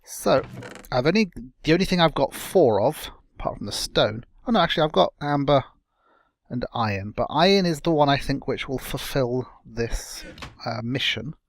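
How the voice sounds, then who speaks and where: Young man, slightly croaky, chatty self-correcting gameplay talk, mid pitch, dry recording. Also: animated, moderate pace, dry recording. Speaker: let's play host. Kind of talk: casual gameplay commentary.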